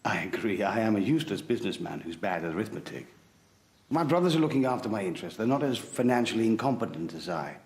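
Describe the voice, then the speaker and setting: An actor playing a hot-blooded, idealistic composer in a period drama, blunt and impassioned, sometimes sardonic or tender. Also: male voice, on the low side, sing-song, fast talker, darkish tone. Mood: assured. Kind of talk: period television drama acting.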